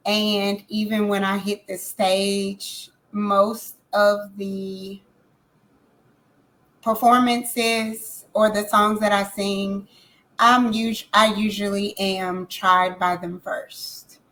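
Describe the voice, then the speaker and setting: A woman's voice: medium pitch, very slow, low-quality recording. Emphatic woman singer talking about her craft. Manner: animated, emphatic, repeats phrases for effect. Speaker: singer guest. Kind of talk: casual interview talk.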